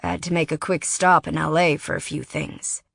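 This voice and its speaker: A woman voicing a brisk, guarded young man who is secretly a dragon, speaking curtly. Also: sits low, steady tone, gravelly, a bit bright, husky, no room sound, clean studio sound, exaggerated voice. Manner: curt, businesslike.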